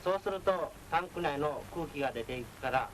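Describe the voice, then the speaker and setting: Higher-voiced male instructor, old film sound. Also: expressive, a bit bright. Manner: measured, formal, authoritative. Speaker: naval instructor. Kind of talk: technical military lecture. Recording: noisy 1940s film soundtrack.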